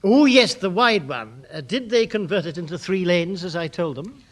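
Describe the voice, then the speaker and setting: An actor playing an eager, dim junior English civil servant in a radio sitcom, boyishly keen and prone to stammer. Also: male voice, sits high, animated, moderate pace. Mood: eager, bumbling. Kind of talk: British radio sitcom acting. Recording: noisy old radio comedy recording.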